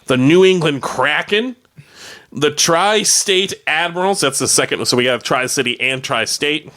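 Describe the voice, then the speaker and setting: A man's voice: fairly high pitch, measured pace, bright, forceful tone. A man co-hosting casual radio chat, reading out listings in a jokey, chuckly way. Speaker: radio show co-host.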